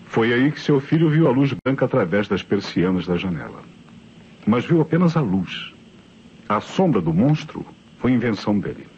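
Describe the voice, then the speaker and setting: An actor with a Brazilian accent playing an authoritative police inspector, measured and declamatory in old radio drama style. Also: male voice, mid-range voice, big pitch swings, a little mellow, lightly acted. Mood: grave, sober. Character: authoritative police inspector calmly explaining the crime. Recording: dull, noisy old radio recording.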